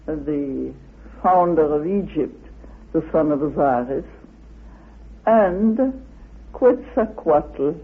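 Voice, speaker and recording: A woman explaining ancient myths in a halting, deliberate way, repeating phrases, on a muffled old radio recording. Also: lowish voice, slightly rough. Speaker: interviewed expert on ancient myths. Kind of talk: explaining, interview monologue.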